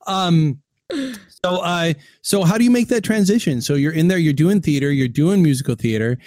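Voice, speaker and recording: A man's voice: a little high, lively intonation, normal speed, darkish tone, dry recording. A man hosting a casual interview, talking in a wry, chatty way with rambling questions. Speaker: interviewer, host.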